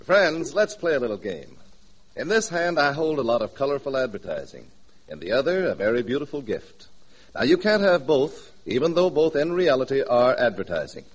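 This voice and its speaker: A man's voice: medium pitch, big pitch swings, normal speed, breathy edge, poor audio. A man announcing on 1940s radio, reading an advertisement in a polished, emphatic manner.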